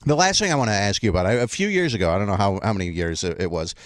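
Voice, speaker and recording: A man hosting a radio show, asking questions in a halting way with many restarts and fillers. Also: fairly low pitch, lively intonation, dry recording.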